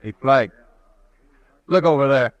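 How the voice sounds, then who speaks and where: An actor playing a steady frontier marshal in a 1950s radio drama, speaking with calm, measured authority. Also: male voice, mid-range voice, no echo, muffled recording, natural voice. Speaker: town marshal.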